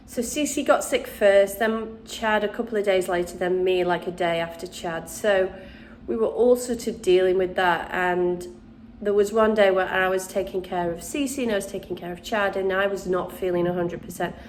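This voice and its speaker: A woman's voice: mid-range voice, slight background noise. A woman host chatting casually and rambling a little, still congested after the flu. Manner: rambling, chatty, with restarts and ums. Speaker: channel host, witchcraft crafts teacher. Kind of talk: casual chat to camera.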